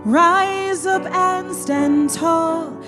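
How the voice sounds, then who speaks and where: A woman vocalist singing slowly in a soulful style. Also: very high voice, over loud music.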